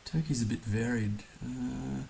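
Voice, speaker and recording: Male game streamer, hoarse and gravelly, unhurried thinking-out-loud delivery, faint hiss on the track. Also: mid-range voice, fairly even intonation. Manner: musing, hesitant, thinking aloud. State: hoarse, recovering from being under the weather. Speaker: livestream host playing a geography guessing game. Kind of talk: casual live game commentary. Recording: noticeable background hiss.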